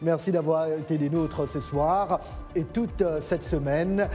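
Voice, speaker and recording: A news anchor with a fairly high voice speaks in a polite, measured way, closing the evening bulletin with formal thanks. Music plays clearly under his voice. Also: mellow. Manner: polite, smooth, formal sign-off.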